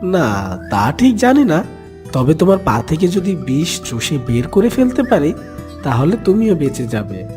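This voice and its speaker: A man's voice: fairly high pitch, huge pitch swings, measured pace, darkish tone, soft background music. A man voicing a kind, brave and clever young duckling in a cartoon animal fable, earnest and coaxing.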